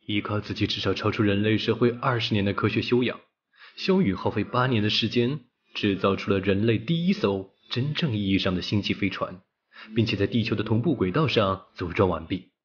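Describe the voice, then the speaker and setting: A man's voice: fairly low pitch, brisk, dull audio. A man narrating a scripted audiobook as a storyteller.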